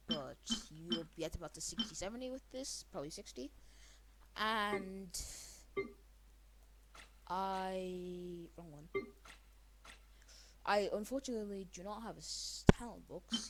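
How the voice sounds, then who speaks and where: A woman's voice: medium pitch, very noisy. A young woman giving casual gameplay commentary, slangy and rambling as she thinks aloud. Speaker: gaming video creator. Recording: loud game music behind voice.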